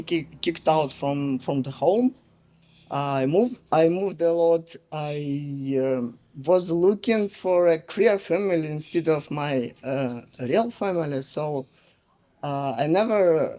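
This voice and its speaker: Russian accent guy giving a speech, slow, lots of uhs, soft mellow voice. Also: on the high side. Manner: halting, many fillers and pauses. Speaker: guest speaker. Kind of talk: public speech.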